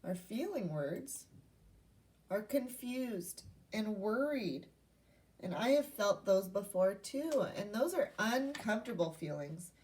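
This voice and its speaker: A woman's voice: mid-range voice, sing-song, slow, darkish tone, far from the mic, a little hiss or hum, rough recording. A woman teacher giving a read-aloud lesson to young children, speaking in simple instructional phrases and asking questions. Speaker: primary school teacher.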